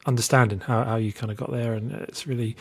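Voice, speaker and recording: A man with a mid-range voice hosts a conversational interview. He is encouraging and casual, feeling his way into questions with halting, restarted phrases. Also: mid-range voice, fairly even intonation. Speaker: podcast host, interviewer.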